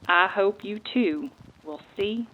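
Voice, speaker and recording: A woman's voice: medium pitch, slow. A woman giving a dramatic reading of eyewitness accounts from a book. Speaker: guest reader. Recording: thin, phone-like narrow band.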